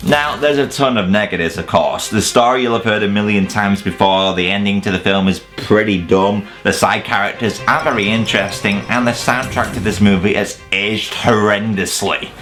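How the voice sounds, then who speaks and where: A man's voice: on the low side, normal speed, few pauses, over music. A man delivering scripted comedic film commentary as a countdown host, with a sarcastic, casually sweary manner.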